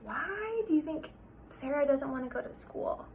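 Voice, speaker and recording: A woman with a high voice reads a picture book aloud like a teacher to young children. Her delivery is expressive and very varied in pitch, with explanations and questions for the listeners. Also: normal speed, a little hiss or hum.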